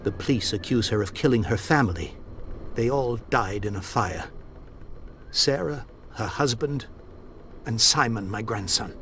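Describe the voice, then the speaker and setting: An actor playing a proud, grieving old industrialist in a period mystery game, speaking formally and earnestly. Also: male voice, fairly low pitch, measured pace, a bit bright. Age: older. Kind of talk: video game voice acting, period mystery drama. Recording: faint background noise.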